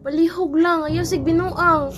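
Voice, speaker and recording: A woman's voice: extremely high pitch, steady tone, a little slow, music in the background. A woman voicing an upset, disapproving mother with a Cebuano accent in a radio drama. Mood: upset, scolding. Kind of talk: amateur radio drama acting.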